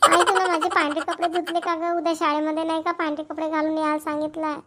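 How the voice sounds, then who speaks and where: Eager young schoolgirl, acted, very high voice, cartoon. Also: little pitch movement, fast, sharp tone, a little hiss or hum. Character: eager little schoolgirl talking to her mother. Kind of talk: cartoon dialogue.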